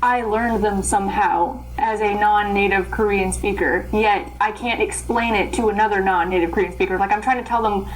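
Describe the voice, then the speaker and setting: Animated young woman, chatty and rambling. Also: medium pitch, a little hiss or hum, low-quality recording. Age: young adult. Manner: animated, rambling, laughs often. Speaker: interpreter guest. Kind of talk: casual interview chat.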